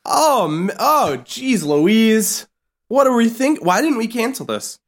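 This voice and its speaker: A man's voice: very high-pitched, sing-song, slow pace. A man from Texas co-hosting a podcast, goofy and self-mocking, laughing often. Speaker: podcast co-host. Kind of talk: casual comedic banter.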